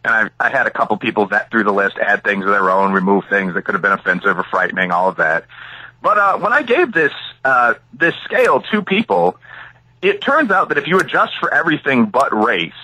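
A middle-aged American man speaks as an interview guest with a very bright, forceful edge and a husky grain. His pitch moves in a lively way as he lays out opinionated, analytical answers with asides and examples. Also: fairly quick, dry recording, low-quality recording. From Chicago area, United States. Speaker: interview guest, academic and author.

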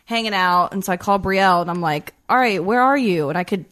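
A woman with a raspy, low voice, telling a story in casual chat. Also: fast. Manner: relaxed, chatty recounting with quoted asides.

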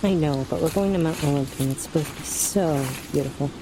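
Female cousin caller, played by an actress: low register, pushy, audio drama, noisy line. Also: fast. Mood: needling.

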